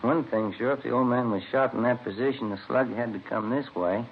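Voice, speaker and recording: An actor playing a plainspoken, skeptical police detective in a 1950s radio drama, understated and matter-of-fact. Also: male voice, medium pitch, fairly even intonation, brisk, natural voice. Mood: skeptical, focused. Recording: dull old radio recording with hiss.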